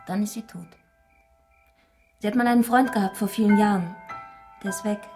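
A woman's voice: medium pitch, normal speed, over music, natural voice. An actress playing a matter-of-fact village wife and mother in a German radio crime drama. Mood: matter-of-fact gossip.

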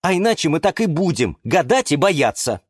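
A man's voice: fairly high pitch, very animated, unhurried, dry recording, studio quality, voice pushed up. A man voicing a patient, kind man in a children's story, speaking earnestly and persuasively. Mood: patient pleading.

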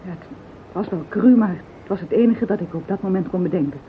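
An actress playing an anxious, self-justifying accomplice, pleading and defensive, in a Dutch radio play. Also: female voice, fast talker, natural voice. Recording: muffled phone-like old radio recording, faint rumble.

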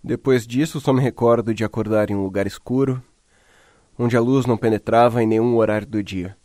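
A man's voice: medium pitch, fairly even intonation, fairly quick, a little mellow, dry recording, clean studio sound, close to an everyday voice. Man acting a frightened young captive, anxious confession. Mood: resigned despair. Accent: Brazilian. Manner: anxious, colloquial confessional telling. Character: frightened young male captive recording his horror testimony. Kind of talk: first-person horror audio drama monologue.

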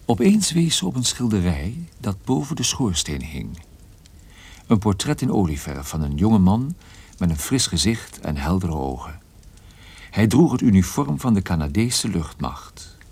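A man playing a troubled, wry and guarded private detective in a radio play, with a husky voice and a dry, hesitant manner. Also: low-pitched, sing-song, a little slow, darkish tone, heavily acted. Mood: wry narration. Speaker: lead character and narrator. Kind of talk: radio play narration and dialogue.

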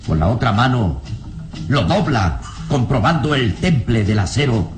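A man's voice: medium pitch, expressive, a little slow, over music. A man narrating a radio serial in a dramatic, solemn, suspenseful scripted style.

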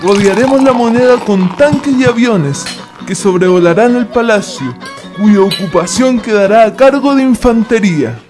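An actor playing a proud, defiant military plotter in a radio drama, speaking in formal declamation. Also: male voice, high voice, expressive, moderate pace, over music. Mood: resolute, conspiratorial.

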